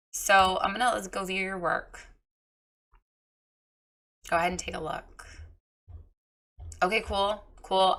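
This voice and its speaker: Woman reviewer, hoarse and froggy, bright forceful delivery, candid coaching tone. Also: medium pitch. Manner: chatty, frank, encouraging with filler ums. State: hoarse, recovering from lost voice. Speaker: website reviewer giving feedback. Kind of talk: casual screen-share critique.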